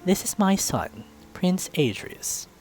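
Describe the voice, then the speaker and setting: A man plays a regal beast king from a fantasy visual novel. The voice is lifted high, courteous and wry, with measured, formal phrasing that carries royal poise. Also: big pitch swings, darkish tone, soft background music, heavily acted.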